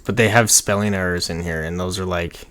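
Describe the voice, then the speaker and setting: A man in his thirties with a fairly low voice talks through an on-screen tutorial. He is informal and rambling, often muttering to himself as he works at a computer in a small room. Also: steady tone, a little fast, a bit bright. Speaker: online marketing tutorial host. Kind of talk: casual screen-share tutorial, thinking aloud.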